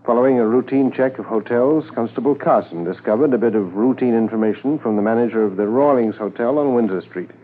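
An actor with a Canadian accent playing a measured, matter-of-fact Mountie inspector who narrates a manhunt in a 1950s radio drama. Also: male voice, medium pitch, slight background noise, natural voice. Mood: sober, factual. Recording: tinny 1950s radio transcription, faint music.

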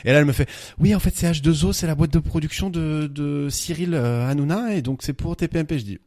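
A man, a podcast guest, telling a funny anecdote in casual chat. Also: on the high side. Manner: fast, animated anecdote telling with laughs.

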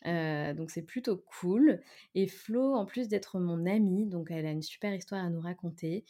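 A woman's voice: lowish voice, moderate pace, dark tone. A woman hosting a podcast, friendly and chatty as she talks with a guest. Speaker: podcast host. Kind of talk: casual interview.

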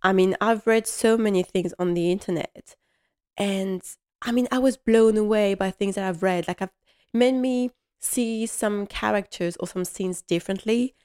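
A woman's voice: medium pitch, moderate pace, darkish tone, clear, resonant tone. A young woman who speaks English as a second language, hosting a casual solo podcast in a chatty, self-deprecating way, full of fillers and restarts. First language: not English. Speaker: podcast host.

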